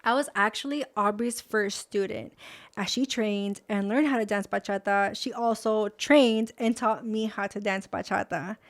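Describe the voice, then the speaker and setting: A woman's voice: mid-range voice, steady tone, moderate pace. A woman in her twenties from Los Angeles, hosting and introducing herself in a chatty, upbeat way with a few Spanish words mixed in. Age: 20s. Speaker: host. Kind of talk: friendly scripted video introduction.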